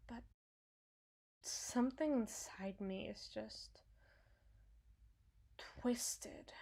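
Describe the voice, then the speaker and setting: A woman playing a cynical, menacing henchwoman with a hidden soft spot in an ASMR roleplay, brooding and sardonic, sometimes sinking toward a whisper. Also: mid-range voice, close to an everyday voice. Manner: slow, brooding, sardonic, dropping into breathy whispers. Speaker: audio roleplay performer.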